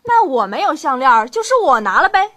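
A woman playing a proud, hard-working working-class wife with a sharp tongue in a radio drama. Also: sits high, sing-song, fairly quick. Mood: indignant. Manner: indignant, scolding, sharp-tongued. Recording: amateur radio drama with music underneath.

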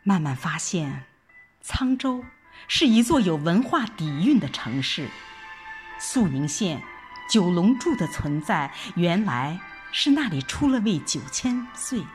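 Woman, scripted story narration, unhurried pace, lively melodic delivery, light background music. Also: on the low side, slightly rough. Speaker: audio drama narrator. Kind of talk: scripted first-person narration.

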